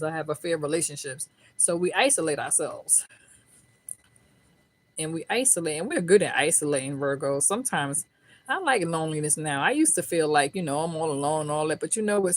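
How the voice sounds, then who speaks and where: A middle-aged woman giving a casual tarot reading, talking in a chatty, folksy way, swearing freely and often checking in with "right?". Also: sits low. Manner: colloquial American speech. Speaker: tarot reader.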